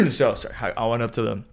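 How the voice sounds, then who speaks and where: A young man hosting a stock market video, talking casually through charts with a hoarse voice, often clearing his throat. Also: medium pitch, wildly swooping pitch, a little fast, a little mellow. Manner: keeps clearing his throat, upbeat and hype-driven. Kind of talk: casual chart analysis talk.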